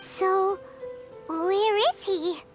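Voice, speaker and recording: A woman voicing a tiny childlike fairy companion in a video game, squeaky, excitable and inquisitive. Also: extremely slow, exaggerated voice. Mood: curious. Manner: exclamatory, inquisitive. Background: game music.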